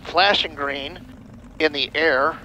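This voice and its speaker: A man's voice: a little high, fairly even intonation, slow, poor audio. A middle-aged or older man, a pilot, explaining and quizzing in a casual way on a headset. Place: small airplane cockpit. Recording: loud airplane cabin and engine noise.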